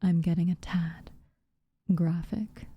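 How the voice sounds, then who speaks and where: A woman voicing a guarded, haughty yet secretly tender witch in an ASMR roleplay, softly and breathily, with slow, formal phrasing. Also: on the low side, fairly even intonation, dry recording, lo-fi sound, exaggerated voice. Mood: grim, measured. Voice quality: soft, breathy, often near-whispered. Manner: slow, formal, measured wording with reluctant warmth.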